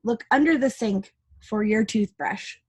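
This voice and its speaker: A woman voicing an eager, playful male friend in a children's storybook, coaxing and excitable. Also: medium pitch, unhurried, slightly rough.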